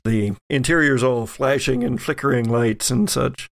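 Male game master, mid-pitched with vocal fry, improvised tabletop narration, feeling out each description. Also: normal speed.

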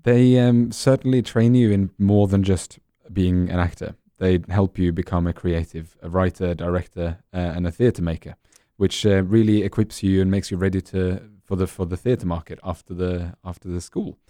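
Brief: deep-voiced male actor from Norway, warm tone, earnest. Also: steady tone, moderate pace, few pauses, dry recording, clean studio sound. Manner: earnest, explanatory. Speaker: actor guest. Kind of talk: interview answers.

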